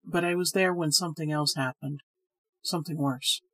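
A woman voicing a sad, hesitant man who tells a ghost story in a grave, measured way. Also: low-pitched, steady tone, a bit bright, dry recording.

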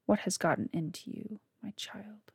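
A woman's voice: medium pitch, moderate pace, creaky, breathy edge, dry recording, clean studio sound. A woman whispering as a cold ghostly father. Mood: grave. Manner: hushed, grave warnings. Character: stern ghostly old father, cold and warning.

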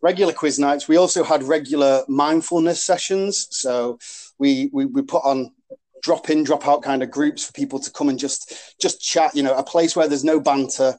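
A man's voice: fairly high pitch, normal speed, darkish tone. A man with a northwest English accent, a rugby club captain chatting casually as a podcast guest, full of ums and restarts. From Warrington, northwest England. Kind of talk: casual interview chat.

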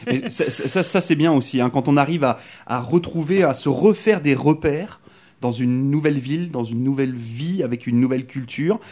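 A man's voice: mid-range voice, moderate pace, a little mellow. A man interviewing a guest in a casual, chatty way, with many fillers and repeated words.

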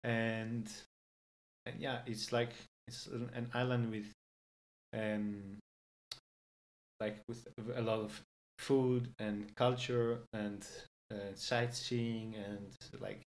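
A young Greek man speaking English as a second language, relaxed and hesitant, with frequent fillers and restarts. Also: lowish voice, slow pace. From Greece. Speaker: guest. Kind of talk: casual interview in English.

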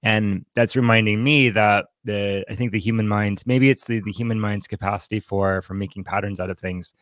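A man with a fairly low-pitched voice talks casually about books as a podcast co-host. He circles his thoughts haltingly, often doubling back on words. The sound is very dry, with no room around it. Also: steady tone, fairly bright tone, no echo. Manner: halting, thinking aloud, repeats words. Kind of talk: casual literary discussion.

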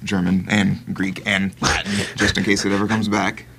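An actor playing an anxious, indecisive philosophy professor who talks in an earnest, halting way. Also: male voice, sits low, little pitch movement, creaky, fairly bright tone, a little hiss or hum. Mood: polite. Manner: earnest, fretful, halting restarts. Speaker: ethics professor.

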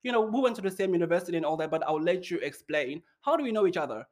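A man's voice: high voice, animated. Upbeat chatty man hosting. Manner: upbeat, chatty, quick questions. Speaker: podcast host. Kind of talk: casual interview chat. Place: China.

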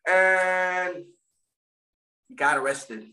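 A man with a background talks in a high, bright voice, restarting sentences as he goes. He sits some way from the mic in a room with a strong echo. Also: very slow. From Puerto Rico, raised in Florida. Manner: rambling, repeats and restarts often. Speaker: guest, First Amendment auditor. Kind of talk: casual interview chat. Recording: echoey room, distant mic.

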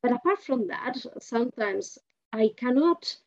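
A woman's voice: fairly high pitch, lively intonation, unhurried, a little mellow. Spanish-accented woman host, dull sound. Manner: encouraging, frequent backchannels. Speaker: podcast host. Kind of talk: interview.

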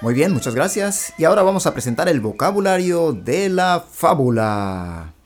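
A man's voice: a little high, sing-song, moderate pace, faint music behind. A man hosting a religious program, interviewing a guest with friendly, affirming interjections. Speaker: radio show host. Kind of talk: interview, presenting segments.

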